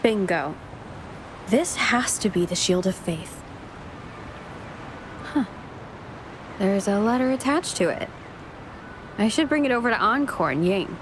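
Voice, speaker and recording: A woman voicing a calm young woman explorer in a video game, speaking in a matter-of-fact way. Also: mid-range voice, measured pace, long pauses, noisy background, lightly acted. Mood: curious.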